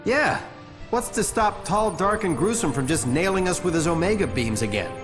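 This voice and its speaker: A man playing a cocky, quippy young superhero in a video game, flippant and energetic. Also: sits high, moderate pace, over music.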